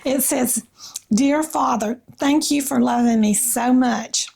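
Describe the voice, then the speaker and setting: A woman with a Southern US accent and a slightly raspy voice, chatting casually about faith in a gentle, halting way with pauses. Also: high voice. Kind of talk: casual devotional chat with read-aloud passages.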